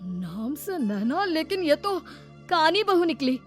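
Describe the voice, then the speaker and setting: A woman's voice: high voice, sing-song, takes it slowly, soft background music, pitched above the performer's own voice, full character voice. A woman voicing a greedy, indignant young bridegroom in a melodramatic, complaining way for a moral story.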